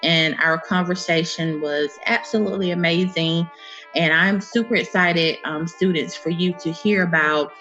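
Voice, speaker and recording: A woman's voice: low-pitched, a bit bright, highs cut off. A woman hosting a casual interview, upbeat and encouraging, with many fillers. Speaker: interview host. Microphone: video call.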